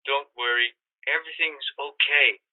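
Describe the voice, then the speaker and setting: A man's voice: mid-range voice, fairly even intonation, normal speed, a little hiss or hum. A man playing a matter-of-fact, obliging, cold-blooded killer in a radio thriller, speaking through a telephone filter. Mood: calm, businesslike. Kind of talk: 1940s radio thriller acting. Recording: dry, thin, band-limited telephone line.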